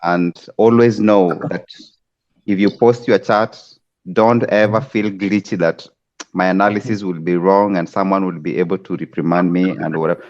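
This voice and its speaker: A man's voice: deep voice, fairly even intonation, moderate pace, darkish tone, highs cut off. A man from Kenya, a trading coach, steadily explaining charts in a screen-share tutorial over a video call.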